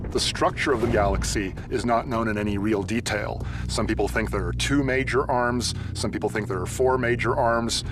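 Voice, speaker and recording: A man gives an explanatory interview as a scientist. His voice sits at a middle pitch, with music playing clearly behind it.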